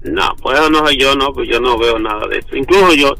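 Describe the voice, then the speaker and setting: A man, talking casually on the phone in a halting, repetitive, defensive way. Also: medium pitch, gravelly, bright, forceful tone, soft background music, poor audio. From Dominican Republic. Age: 50s. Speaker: prank call victim.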